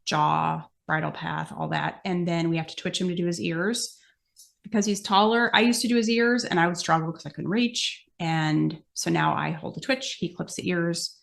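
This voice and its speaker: A woman's voice: lowish voice, moderate pace, gravelly, fairly bright tone. An American woman in a casual podcast Q&A, explaining things in a practical way and thinking aloud as she goes. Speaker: podcast guest host, amateur horse show exhibitor.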